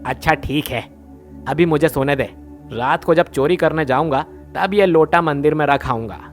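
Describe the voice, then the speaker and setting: A man's voice: fairly high pitch, normal speed, faint music behind, natural voice. A man playing a greedy village thief in a devotional story, brash, colloquial and grumbling. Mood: grumbling, defensive.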